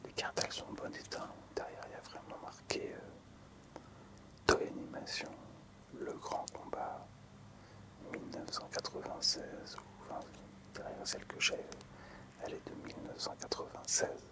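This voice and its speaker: A man hosting casual ASMR in a breathy, unvoiced whisper, hushed, hesitant and appreciative. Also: moderate pace. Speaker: ASMR video host.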